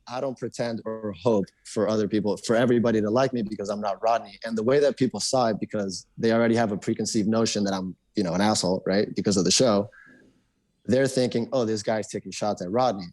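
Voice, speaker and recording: A man's voice: on the low side, fairly even intonation, darkish tone, dry recording, poor audio. A young man from Argentina, a native Spanish speaker, talking casually as a podcast guest with lots of restarts and fillers. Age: young adult. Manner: fast, rambling, many restarts and fillers. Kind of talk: casual interview chat.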